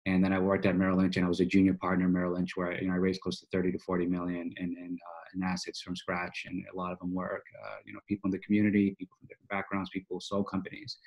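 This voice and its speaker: A man's voice: sits low, monotone, rapid-fire, darkish tone, poor audio. A man in his early thirties from Boston, telling his story in casual, slangy speech as a podcast guest. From United States, Boston. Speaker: podcast guest, financial coach. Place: small office, indoors, on a video call.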